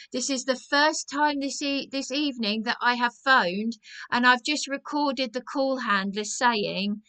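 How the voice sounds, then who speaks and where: A woman's voice: fairly high pitch, sharp tone, smooth, poor audio. A distressed woman making a complaint as a caller, agitated and insistent, repeating her phrases.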